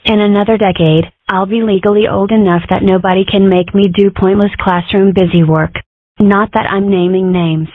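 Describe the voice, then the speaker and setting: A woman's voice: mid-range voice, fairly even intonation, moderate pace, no echo, lightly acted. A woman voicing an opinionated, mischievous, sarcastic schoolgirl in a comic strip dub, wry and argumentative. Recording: muffled, phone-like narrow sound.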